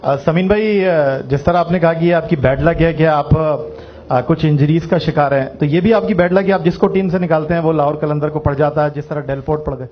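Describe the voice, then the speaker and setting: A slightly dull, faintly noisy recording of a man with a fairly high voice. He addresses the panel as a reporter. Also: a little fast. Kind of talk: press conference question.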